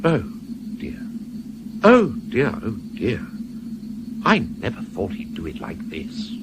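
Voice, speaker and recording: An actor playing an urbane, old-fashioned Satan in a radio play, politely fussy and matter-of-fact about the uncanny. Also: male voice, mid-range voice, wildly swooping pitch, slow. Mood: rueful, urbane. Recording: noisy 1970s radio drama recording.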